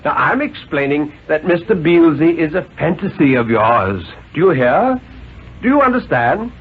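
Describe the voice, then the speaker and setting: A man's voice: a little high, big pitch swings, normal speed, slightly rough, full character voice. Man acting a pompous father, swooping and insistent. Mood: insistent, lecturing. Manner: pompous, insistent, jolly-patronizing, emphatic. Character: overbearing, condescending father lecturing his small son. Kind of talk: 1950s radio dramatic reading. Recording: tinny, noisy 1950s radio recording.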